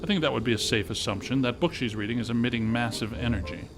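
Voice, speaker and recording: Video game role: unflappable male scientist played by a man, mid-pitched, dry, exact, technical, with noticeable background noise. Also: quick pace, lightly acted. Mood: deadpan. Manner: dry, precise, technical delivery. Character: brainy deadpan male ghost-hunting scientist, unflappable.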